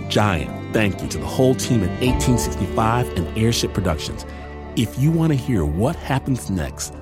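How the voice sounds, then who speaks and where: Theatrical male radio host over prominent music. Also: medium pitch. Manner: theatrical, emphatic, stretches words for effect. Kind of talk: playful scripted radio outro narration.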